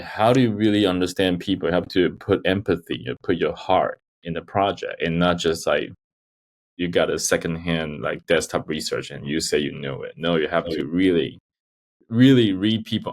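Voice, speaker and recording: A man's voice: low voice, moderate pace. A man from Taiwan, speaking English as a guest on a podcast, chatting casually and rambling with many fillers. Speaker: guest, design researcher and teaching assistant. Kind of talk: casual interview chat.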